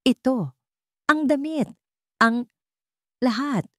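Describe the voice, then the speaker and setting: A woman's voice: high voice, slow, no echo, studio quality, pitched above the performer's own voice. Woman voicing a timid, polite young female assistant. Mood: hesitant, pleading. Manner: hesitant, polite, earnest. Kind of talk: Tagalog romance audio drama.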